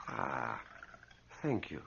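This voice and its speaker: Worried earnest priest in old radio play, gravelly, swings up and down, muffled audio. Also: male voice, extremely low pitch, huge pitch swings, extremely slow, fairly bright tone, slight background noise, heavily acted. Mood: uneasy. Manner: earnest, cautioning. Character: grave, anxious priest warning of evil. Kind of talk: old-time radio drama acting.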